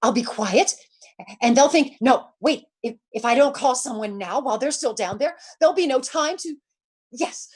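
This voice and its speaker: A woman's voice: high voice, moderate pace, husky. A woman playing a frantic, bedridden invalid who stammers and repeats herself in terror, in old-time radio suspense style. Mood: hushed terror. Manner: stammering, repeating words in panic.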